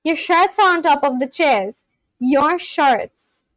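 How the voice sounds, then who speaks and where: A woman's voice: sits high, expressive, slow, fairly bright tone, no room sound. A woman livestreamer chatting casually and practising language phrases aloud, in a chatty way. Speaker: livestream host learning Spanish.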